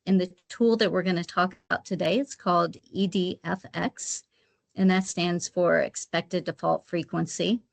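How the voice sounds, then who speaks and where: A woman's voice: lowish voice, steady tone, normal speed, vocal fry. A woman giving an explanatory webinar presentation step by step, sometimes repeating her phrases as she goes. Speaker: guest presenter, credit rating specialist.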